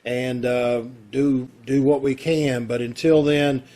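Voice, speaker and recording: A man's voice: medium pitch, fairly even intonation, takes it slowly, dark tone. A man chatting in a folksy, casual way as he hosts an amateur theatre troupe's online show over a video call. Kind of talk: casual welcoming chat to an online audience.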